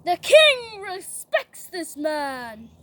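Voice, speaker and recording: Storybook villain, a conceited royal schemer, played by a young woman, very high whiny voice, overblown delivery, noisy recording. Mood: smug, eager. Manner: whiny, exaggerated, drawn-out.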